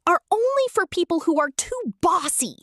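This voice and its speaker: A woman voicing a stern but kindly male wizard in a storybook, measured and knowing. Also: very high voice, very animated, fairly bright tone, no echo, clean studio sound, voice pushed up, exaggerated voice.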